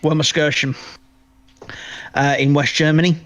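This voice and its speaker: A man's voice: on the high side, level tone, unhurried, fairly bright tone. Male podcast co-host, conversational, loose and rambling delivery with repeated words. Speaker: podcast co-host discussing films. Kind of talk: casual chat.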